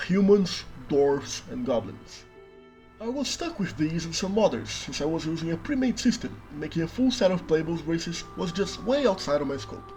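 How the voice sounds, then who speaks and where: Wry Brazilian man narrating over soft music. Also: fairly high pitch, low-quality recording. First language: Brazilian Portuguese. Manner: dry, self-mocking narration with dramatic emphasis. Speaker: worldbuilding video creator.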